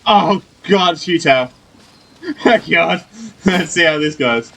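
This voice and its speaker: A man's voice: on the high side, animated, slow, creaky, bright, forceful tone. A man doing casual, wry gameplay commentary, reacting in short asides as he plays. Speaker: gamer playing through and reacting. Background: game music.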